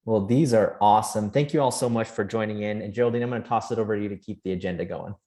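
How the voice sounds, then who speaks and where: A man's voice: lowish voice, fast talker, mellow. A man co-hosting a video call, talking in an enthusiastic, friendly way. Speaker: co-host, program director. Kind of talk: upbeat webinar hosting.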